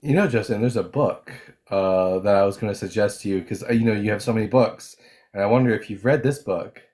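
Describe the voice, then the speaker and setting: Man with a low voice, casual co-host, talks quickly and eagerly. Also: darkish tone. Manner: quick, eager, recommends things. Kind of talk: casual chat.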